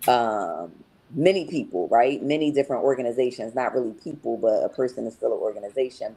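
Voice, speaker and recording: A woman's voice: rumbling low, mellow, low-quality recording. A woman speaking as a podcast guest about her husband's recovery, explaining in an earnest, reflective way with frequent "um" and "right?". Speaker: podcast guest, patient's wife. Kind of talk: casual podcast testimonial.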